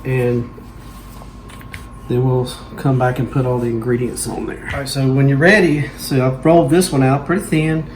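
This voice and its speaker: A man gives relaxed, folksy cooking instructions in a mid-range voice. He sounds like a home cooking host. A clear layer of background noise sits behind his voice. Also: medium pitch, normal speed.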